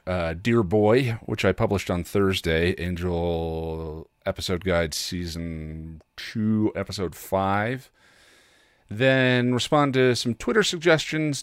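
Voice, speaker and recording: A man with a cold talking casually and haltingly, with self-deprecating asides, as a solo podcast host. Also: lowish voice, a bit bright, smooth, no echo, clean studio sound. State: congested with a cold.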